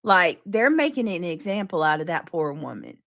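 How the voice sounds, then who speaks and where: A woman's voice: fairly low pitch, very animated, normal speed, creaky, a bit bright. A woman co-hosting a livestream, chatty and laughing often. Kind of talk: casual livestream chat.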